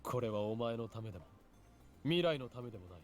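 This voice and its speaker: A man's voice: mid-range voice, expressive, very fast, soft background music, close to an everyday voice. A man voicing a brash young mad scientist. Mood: defiant. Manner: commanding, defiant. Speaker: game character voice. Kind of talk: Japanese game voice acting.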